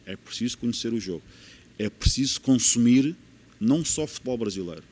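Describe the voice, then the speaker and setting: A man's voice: mid-range voice, expressive, brisk, a little mellow. A man with a European Portuguese accent, a football coach in a podcast interview, explaining his views earnestly and repeating key phrases for emphasis.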